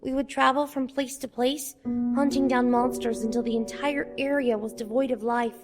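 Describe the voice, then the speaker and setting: A woman playing a haunted young child in a fan comic dub, solemn and deliberate. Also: on the high side, steady tone, loud music behind the voice, natural voice. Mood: grim, confessing. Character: haunted young child confessing past killings.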